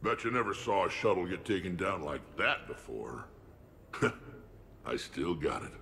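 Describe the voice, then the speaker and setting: Cocky male soldier, lowish, bright edge, blunt, sardonic, game dialogue. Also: very animated, unhurried, vocal fry, slight background noise, exaggerated voice. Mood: upbeat, cocky.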